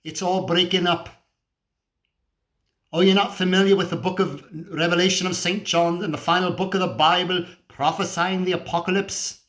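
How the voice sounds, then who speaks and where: Man playing feverish male doomsayer, cynical, scornful, slightly high voice, emphatic rhetorical ranting, stage-style monologue. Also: fairly even intonation, moderate pace, a bit bright. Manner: emphatic, preachy, sweary ranting with rhetorical questions. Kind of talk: dramatic monologue performance.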